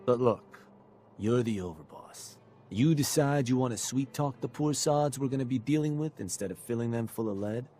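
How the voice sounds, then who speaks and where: An actor playing a cocky, scheming raider adviser in a video game, talking in a persuasive, sardonic way. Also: male voice, mid-range voice, moderate pace, mellow, soft background music, lightly acted. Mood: coaxing. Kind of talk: video game character dialogue.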